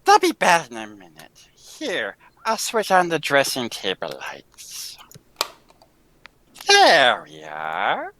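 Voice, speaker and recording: A woman's voice: huge pitch swings, slow, full character voice. Woman acting a sly, wildly swooping tiger tamer. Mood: quietly menacing. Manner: theatrical, swooping between hushed menace and shrill gushing. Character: menacing female circus tiger tamer, sly and volatile. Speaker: amateur voice actor in live table read. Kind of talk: old-time radio drama acting.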